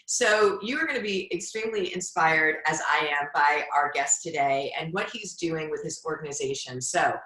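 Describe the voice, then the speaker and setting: Middle aged lady hosting an interview podcast, kinda low but bright voice, really encouraging, roomy sound. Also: moderate pace, hardly any pauses. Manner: effusive, encouraging. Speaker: podcast host, former hospice nurse. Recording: roomy echo.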